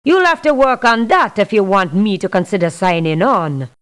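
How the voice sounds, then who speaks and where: A woman playing a tough, principled mercenary for hire in a video game, speaking in a blunt, firm, measured way. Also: medium pitch, animated, fairly bright tone, natural voice. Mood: firm, dismissive. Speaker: mercenary character in a strategy game. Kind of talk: video game voice clips, scripted refusals and barks.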